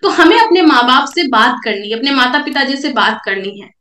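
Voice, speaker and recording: A young woman with a high voice coaches a roomful of listeners through her slides, direct and repetitive in her points. Her throat is sore and she coughs between phrases. The sound carries a roomy echo. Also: lively intonation, a little fast, pushed and bright, slight background noise. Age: young adult. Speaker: network marketing speaker. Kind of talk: motivational seminar talk with slides.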